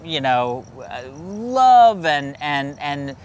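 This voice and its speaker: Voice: medium pitch, very animated, drawn-out words. A show host chatting casually and enthusiastically, like an informal film reviewer, with some background noise.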